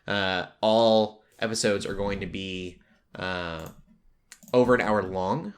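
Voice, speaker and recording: A man's voice: deep voice, steady tone, slow pace. A man hosting a casual pop culture podcast, talking in a rambling way that drifts into tangents. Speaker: podcast host.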